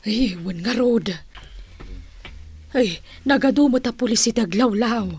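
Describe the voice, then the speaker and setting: A woman's voice: on the high side, a little slow, a little mellow, slightly rough. An Ilocano Filipino woman playing a nosy, fearless aunt in a radio drama. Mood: chatty, unworried. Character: nosy, unafraid aunt eager to watch a gunfight.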